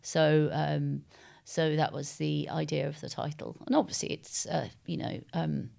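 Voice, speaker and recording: An English woman with a slightly low-pitched voice talks as an interviewed author. Her delivery is conversational and discursive, feeling its way through loosely linked phrases with some hesitation. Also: level tone, unhurried, creaky, dark tone. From East London, England.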